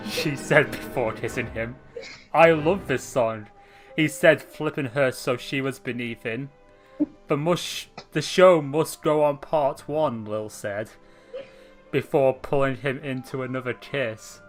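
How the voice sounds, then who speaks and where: A man's voice: fairly high pitch, a bit bright. A young man reading amateur fan fiction aloud in a playful way, laughing now and then. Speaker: channel host, reader. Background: music playing clearly.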